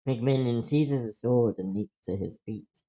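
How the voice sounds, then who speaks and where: Table read narrator: man, warm relaxed tone, medium pitch, plainly reading out stage directions. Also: a little slow.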